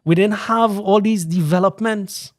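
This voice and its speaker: A man's voice: high voice, unhurried, no echo, clean studio sound. A man from Guyana with a Guyanese accent, a guest talking earnestly and proudly in a casual podcast chat. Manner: proud, earnest, laughs often. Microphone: studio podcast mic.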